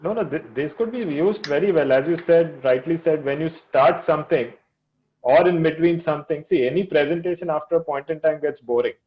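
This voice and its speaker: An Indian-accented man speaks as a guest storyteller in a casual, conversational way. His voice sits a little high, and the recording is somewhat dull and lo-fi. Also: brisk. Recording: dull lo-fi audio.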